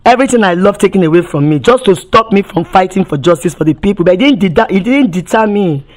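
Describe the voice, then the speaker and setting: A woman's voice: mid-range voice, lively intonation, quick pace. A woman activist giving impassioned testimony, emphatic, often repeating and restarting words and firing off rhetorical questions.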